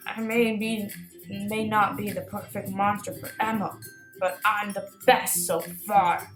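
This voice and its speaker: A woman voicing a big, bossy male monster in a children's storybook, with a gruff, growling delivery. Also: mid-range voice, flat delivery, a little slow, echoey room, over music, lightly acted. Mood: gruff, resigned. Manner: growling, thundering commands. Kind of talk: children's storybook read-aloud.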